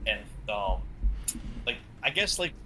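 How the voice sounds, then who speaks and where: A man co-hosting a podcast in casual chat, joking and animated. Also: fairly low pitch, slow pace, noisy background. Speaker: podcast co-host.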